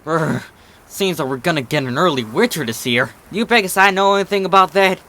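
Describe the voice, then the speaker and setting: Storybook farm pony mare, played by a man: Southern drawl, folksy, friendly, bright and raised in pitch. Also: expressive, a little fast.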